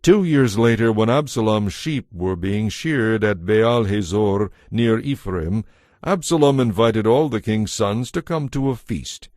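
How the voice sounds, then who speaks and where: A man's voice: on the low side, a little slow, darkish tone, no echo. A man giving a solemn, scripted dramatic narration of scripture as storyteller.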